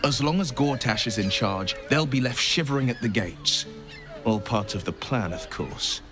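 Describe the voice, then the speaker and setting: A man playing a grave, bitter observer in a video game, with measured, rhetorical, sardonic delivery. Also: medium pitch, animated, over music, lightly acted. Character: grave, bitter observer condemning a tyrant's cruelty. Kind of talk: dramatic video game acting.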